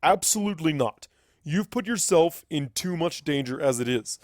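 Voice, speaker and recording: A man voicing a weary, resolute wizard pony who is a father, speaking gravely and firmly in a story reading. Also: on the high side, expressive, moderate pace, no room sound, studio quality, voice pushed up, natural voice. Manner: grave, firm.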